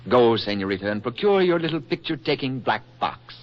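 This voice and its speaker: A man playing a brisk American reporter in a 1940s radio comedy. He rattles along quickly with lively, teasing inflection, and the old recording is muffled. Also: medium pitch, very noisy, natural voice. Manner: breezy, dry, teasing.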